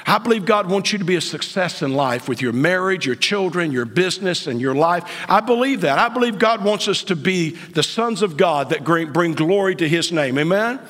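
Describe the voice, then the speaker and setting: A man in his late fifties, a pastor preaching a sermon in a church, dropping to whispers and then rising to high, loud outbursts. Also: expressive, moderate pace, few pauses. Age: 50s. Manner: swings from hushed whispers to sudden high outbursts. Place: church sanctuary. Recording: long church reverb.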